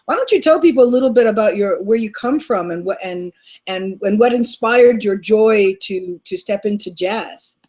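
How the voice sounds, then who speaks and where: A woman's voice: medium pitch, animated, moderate pace, a little mellow. A woman hosting a casual online talk show, effusive and full of compliments, stumbling over repeated words. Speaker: host. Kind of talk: casual chat.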